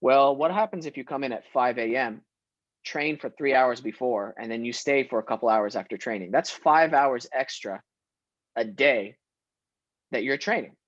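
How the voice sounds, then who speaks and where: Animated young man, dry sound. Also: medium pitch, normal speed, no echo, muffled recording. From Syria. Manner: animated, emphatic, laughs often. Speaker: guest actor. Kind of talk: casual interview chat.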